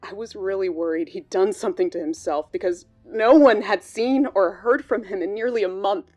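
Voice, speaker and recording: A woman's voice: mid-range voice, normal speed, darkish tone, natural voice. A woman playing a worried, hesitant colleague giving interview testimony in a true-crime audio drama. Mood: uneasy. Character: worried female bank colleague recalling a troubling friend. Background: background music.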